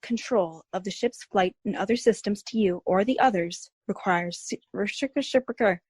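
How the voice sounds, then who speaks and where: A woman playing a formal spaceship character in an audio drama, with a husky voice and precise wording. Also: mid-range voice, moderate pace, darkish tone, no echo, close to an everyday voice. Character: spaceship character delivering formal technical lines. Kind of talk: scripted audio drama acting, flubbed takes.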